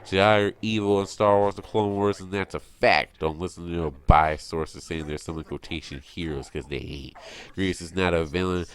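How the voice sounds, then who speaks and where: Low-voiced man in an insistent rant. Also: fairly even intonation, normal speed, smooth. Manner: insistent, repetitive, exasperated. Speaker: online video commentator. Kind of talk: opinionated fan rant.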